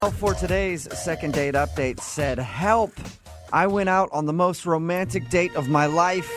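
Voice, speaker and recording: A male radio host with a fairly high voice for a man. He runs morning-show banter in a joking, teasing manner. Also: unhurried, a bit bright, soft background music. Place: radio studio.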